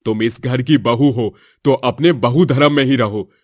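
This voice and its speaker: An older family patriarch, acted by a man in a moral story. He has firm, declamatory delivery at a medium pitch, with almost no room sound. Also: a little slow, dry recording, clean studio sound, natural voice. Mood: stern. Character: authoritative older father-in-law of a wealthy household.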